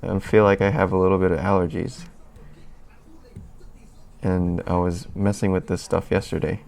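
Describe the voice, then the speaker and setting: A man's voice: sits low, level tone, normal speed, vocal fry, darkish tone. A man hosting a live stream, chatting casually and rambling as he answers questions from chat, a little sniffly. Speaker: livestream host.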